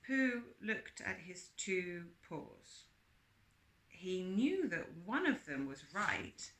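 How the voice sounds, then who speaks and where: A woman reading a book aloud in an expressive, emphatic way, presenting it with chatty asides. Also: mid-range voice. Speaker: reader and presenter of book extracts. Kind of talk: read-aloud with chatty asides and character quotes. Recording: roomy, echoing sound.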